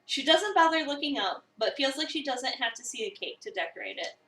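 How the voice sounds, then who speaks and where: A woman reading a visual novel as an eager, awkward new cafe employee, chatty, expressive and self-deprecating. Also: high voice, brisk, exaggerated voice. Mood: earnest. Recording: roomy, a bit far from the mic.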